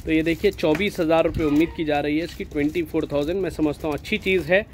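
Hyderabadi male farm vlogger, slightly high voice, persuasive seller tone, recorded outdoors on a phone, light background noise. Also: fairly even intonation, quick pace, a little mellow. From India. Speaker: goat farm owner and channel host. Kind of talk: casual sales walkthrough. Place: outdoor goat pen at a farm.

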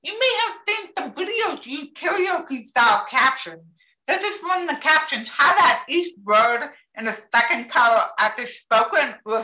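A woman with a deaf accent giving a talk on captioning over a video call, speaking carefully and deliberately. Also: high voice, bright, forceful tone. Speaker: presenter. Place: home office with bookshelves. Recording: echoey room on a video call.

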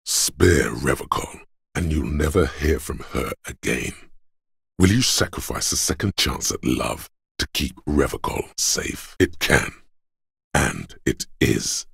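A man voicing a grim, stoic inner voice of endurance for a game, in a solemn, portentous manner with a husky, gravelly quality. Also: rumbling low, a bit bright, dry recording, clean studio sound, heavily acted. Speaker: voice actor. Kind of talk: dramatic game narration, second person.

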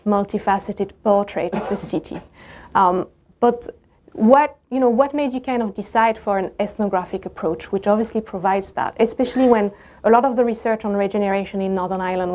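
A woman with a non-native English accent asks long, carefully framed questions in an academic conversation. She is measured and appreciative, and her voice sits at a middling pitch. She is heard from a camera at a distance in a university lecture room. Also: warm tone. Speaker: discussant.

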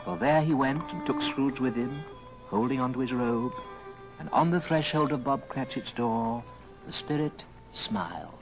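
A man narrating a dramatic story in a theatrical, measured old radio style. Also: mid-range voice, rough recording. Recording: old record, music behind.

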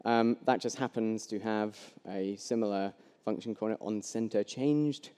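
A man's voice: fairly low pitch, a little slow, warm tone, smooth. A young man giving a casual live coding talk at a meetup, with plenty of ums and restarts, on a headset mic. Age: young adult. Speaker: conference speaker. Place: large hall with long reverb. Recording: echoey hall.

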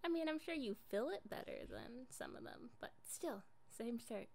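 A woman's voice: fairly high pitch, lively intonation, normal speed, gravelly, a little mellow. A young woman playing an affectionate, jealous girlfriend in an improvised ASMR roleplay, casual and coaxing in an intimate way. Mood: jealous, defensive.

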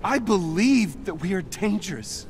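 A man's voice: high-pitched, lively intonation, a little slow, slight background noise. A man playing a cocky, defensive middle-aged superhero with a sarcastic manner in a video game. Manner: sarcastic, needling. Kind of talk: cinematic video game acting.

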